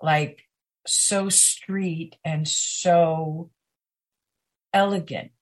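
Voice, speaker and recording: A woman guest on a podcast, talking slowly, emphatically and intimately, with pauses. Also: low voice, steady tone. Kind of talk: conversational podcast interview.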